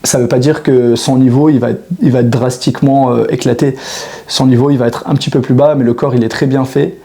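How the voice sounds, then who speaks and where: Mellow-voiced man in his thirties. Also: medium pitch, steady tone, a little fast, gravelly. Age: 30s. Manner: hesitant, many restarts and fillers, reflective. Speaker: fitness coach. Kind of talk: casual monologue to camera.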